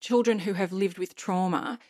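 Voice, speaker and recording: Dry-sounding woman interviewer probing gently. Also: mid-range voice, normal speed, no echo. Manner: probing, restarts phrases, empathetic. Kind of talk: interview questions.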